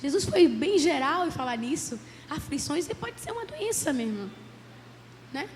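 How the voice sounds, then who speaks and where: Hoarse woman lecturing in a church hall. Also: high-pitched, very animated, normal speed, darkish tone. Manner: earnest, many "né?" tags. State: hoarse from a cold. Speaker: psychologist giving a church talk. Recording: roomy hall with faint background noise.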